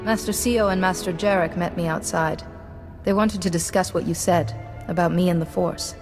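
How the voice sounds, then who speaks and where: A woman playing a self-possessed young Jedi apprentice in a video game, speaking in a measured, firm way. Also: lowish voice, fairly even intonation, darkish tone, lightly acted. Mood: composed. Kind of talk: video game dialogue acting. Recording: echoey hall, music behind.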